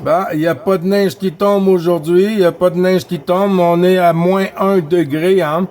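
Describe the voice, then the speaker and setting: A man with a Québécois accent chatting casually over CB radio, relaxed and friendly, repeating phrases with fillers. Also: high-pitched, normal speed, slight background noise. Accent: Québécois French. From Quebec. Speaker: CB radio operator.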